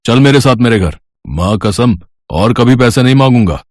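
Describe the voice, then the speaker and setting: A man plays a menacing moneylender villain in an animated moral tale. He is lecherous, demanding and bullying, in a dry recording with no room sound. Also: mid-range voice, moderate pace, dry recording, close to an everyday voice. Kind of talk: animated Hindi moral story acting.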